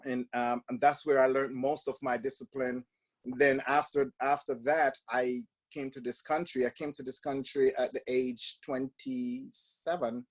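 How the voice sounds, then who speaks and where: A man's voice: medium pitch, level tone, measured pace. A Jamaican man in his fifties, a guest in an interview, telling stories reflectively with many restarts and fillers. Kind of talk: casual interview talk.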